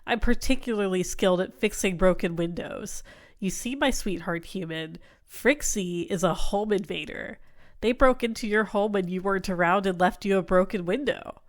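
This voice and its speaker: A woman's voice: medium pitch, normal speed, close to an everyday voice. A woman playing a jealous, obsessive neko in an ASMR roleplay, smug and catty, sweetly possessive. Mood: smug, disdainful. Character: jealous, snide, obsessive cat person rival.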